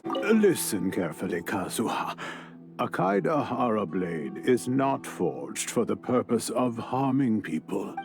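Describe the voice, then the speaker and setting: A man playing a wise, weary grandfather of a noble clan in a video game, speaking in a solemn, gentle, measured way. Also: lowish voice, big pitch swings, vocal fry, over music, full character voice. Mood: solemn, earnest. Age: older. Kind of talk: dramatic game voice acting.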